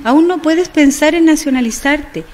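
An actress plays an educated woman with a very high voice. She is a caring friend in a radio soap opera who speaks earnestly, as if steering someone away from trouble. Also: moderate pace, darkish tone, close to an everyday voice. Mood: concerned, advising. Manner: earnest, cautioning.

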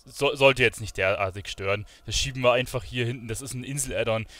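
A man's voice: medium pitch, fairly even intonation, fast talker, fairly bright tone, no echo. Casual man commenting on his gameplay. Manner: informal, colloquial, thinking aloud. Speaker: streamer playing an online role-playing game.

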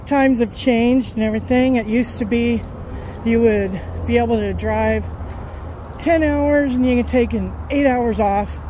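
Slow-talking woman trucker outdoors. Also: a little high, dark tone. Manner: rambling, unhurried, talking to her dogs. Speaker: truck driver vlogger. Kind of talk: casual walk-and-talk vlog. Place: outdoors by a road and parking lot. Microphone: phone held while walking. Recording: outdoor phone audio with traffic noise. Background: passing cars and outdoor ambience.

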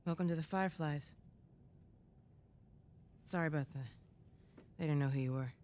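A woman's voice: low-pitched, moderate pace, a little mellow, natural voice. Actress as female resistance leader, American accent, weary, resolute, controlled, video game drama, slight background noise. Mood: calm, reassuring. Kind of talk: naturalistic video game acting. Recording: cutscene audio with ambient noise.

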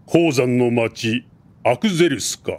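An actor playing a dignified middle-aged king who speaks in a formal, measured way in an anime drama CD. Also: mid-range voice, a little mellow, slight background noise, natural voice. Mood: stately.

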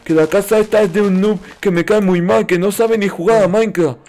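Male gaming host, very high-pitched, naive childlike roleplay delivery, music underneath. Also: steady tone, fairly quick, a little mellow. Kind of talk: playful noob roleplay commentary over a video game.

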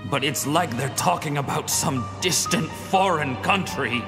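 A man's voice: a little high, measured pace, over music. An actor playing a grieving, desperate archaeologist narrating his journal in a video game, brooding and theatrical. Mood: anguished.

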